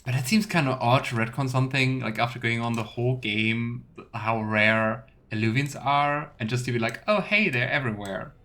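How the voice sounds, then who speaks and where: A man's voice: mid-range voice, fairly bright tone. A man streaming a video game, giving casual commentary and thinking aloud. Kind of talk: casual let's play commentary.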